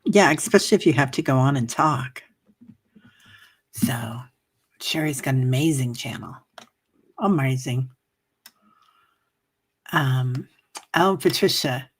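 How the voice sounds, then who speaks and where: A woman with a strikingly low, husky voice hosts a casual livestream. She rambles warmly through viewer comments with plenty of fillers. A cough lingers, leaving her a little hoarse. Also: expressive, slow, pauses often. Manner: chatty, rambling, many fillers. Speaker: livestream meditation host. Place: home livestream.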